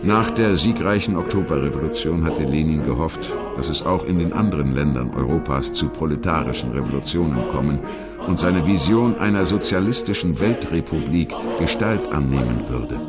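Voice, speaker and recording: A man reading scripted historical documentary narration in a measured, articulate storytelling manner. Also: a bass voice, lively intonation, over loud music.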